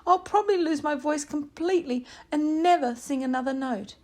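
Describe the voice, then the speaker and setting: A woman's voice: sits high, moderate pace, a little mellow, voice pushed up, close to an everyday voice. A woman voicing a nervous young male nightingale who stutters and whines tearfully. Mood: anxious, tearful.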